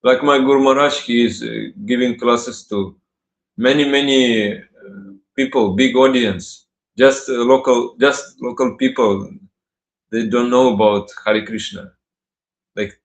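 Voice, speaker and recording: A man from Russia with a Russian accent gives a devotional talk on scripture. He speaks haltingly, with many pauses and restarts, and laughs often. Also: medium pitch, reverberant.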